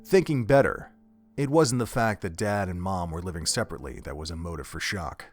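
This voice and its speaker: A man's voice: low-pitched, lively intonation, a little fast, faint music behind. A man narrating an audio drama from a script, telling a story in the third person.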